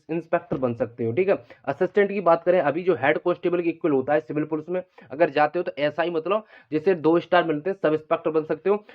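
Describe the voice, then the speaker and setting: Male video host, slightly high voice, rapid informal delivery, repetitive explaining. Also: lo-fi sound. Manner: repetitive, explanatory, frequent check-in tags. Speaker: exam-news video host, coaching aspirants. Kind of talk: informal unscripted exam update monologue.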